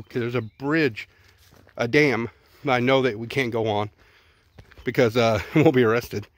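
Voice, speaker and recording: A man's voice: medium pitch, dry recording. A middle-aged man with a Texan accent, narrating casually as he films himself walking through outdoor ruins on a handheld phone, thinking aloud and repeating words. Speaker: vlogger exploring ruins. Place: outdoors at a ruined zoo. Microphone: handheld phone camera.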